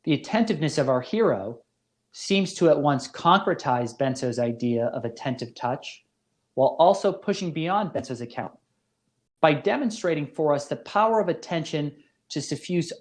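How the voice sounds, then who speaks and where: A man speaking as a professor in a scripted lecture, with deliberate, literary, measured phrasing. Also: on the high side, animated. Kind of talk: scripted philosophy lecture narration.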